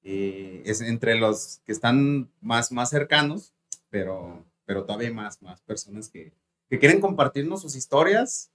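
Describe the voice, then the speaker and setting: A man hosting a casual interview, talking in a relaxed way with lots of fillers. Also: mid-range voice, measured pace. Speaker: host.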